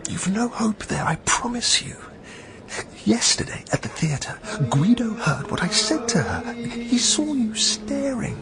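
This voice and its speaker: A slow, hushed furtive priest in a period radio drama. He half-whispers with swinging intonation, with music clearly behind him. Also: extremely high pitch, very animated, exaggerated voice, low-quality recording. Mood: furtive, warning.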